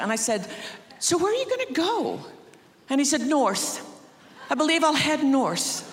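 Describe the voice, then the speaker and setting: A Scottish woman preaches from the stage of a large church, and her voice rings out with a long echo around the hall. She tells stories with gentle humor and acts out the lines of the people in them. Her pitch rises and falls in a lively way. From Scotland. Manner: warm anecdotal humor, acts out quotes. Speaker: guest preacher. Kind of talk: sermon storytelling.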